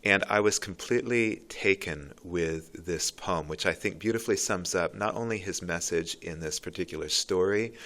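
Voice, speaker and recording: A man with a low voice giving earnest, reflective commentary on a poem, pausing and restarting as he thinks. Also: a bit bright. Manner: thoughtful, earnest, with pauses and restarts. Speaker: literature commentator.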